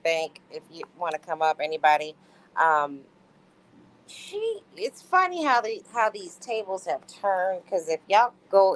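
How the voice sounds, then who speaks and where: A woman's voice: lowish voice, low-quality recording. A woman hosting a livestream, reading messages aloud and chatting casually in commentary. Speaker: livestream host.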